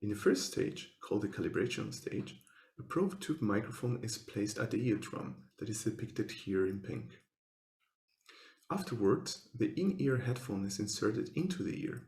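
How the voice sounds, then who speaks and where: A man giving a scripted technical presentation as a researcher, speaking formally and in measured, step-by-step phrases. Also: lowish voice, animated, darkish tone, echoey room.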